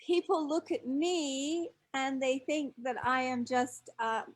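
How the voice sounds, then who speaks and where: An older woman from Scotland, a guest reflecting on her life abroad in a measured, storytelling way. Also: sits high. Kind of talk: casual interview chat.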